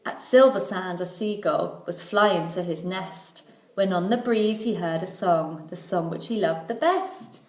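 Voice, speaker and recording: A woman reading a children's storybook aloud like a teacher, in measured, rhythmic phrases. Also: lowish voice, darkish tone. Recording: echoey room.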